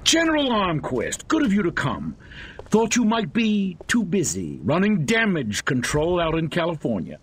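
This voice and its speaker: An actor playing a brash, bossy military officer in a game cutscene, a loud and folksy showman. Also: sits high, wildly swooping pitch, moderate pace, a little hiss or hum. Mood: wry. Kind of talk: video game cutscene acting.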